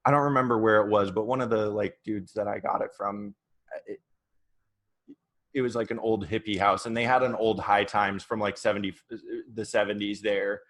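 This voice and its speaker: A man of thirty from Oregon, a podcast guest, chatting in a relaxed, rambling way with many fillers. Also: on the low side, moderate pace. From Oregon, USA. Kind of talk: casual chat.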